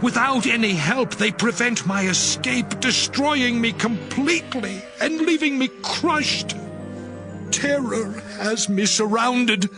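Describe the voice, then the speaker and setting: An actor playing a righteous, once-proud man in a dramatized scripture reading, solemn and expressive. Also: very high-pitched, slow pace, full character voice. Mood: bitter, anguished. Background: background music.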